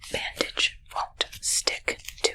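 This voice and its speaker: A woman whispering an ASMR roleplay as an odd, suspicious medical examiner, in halting, stilted short phrases.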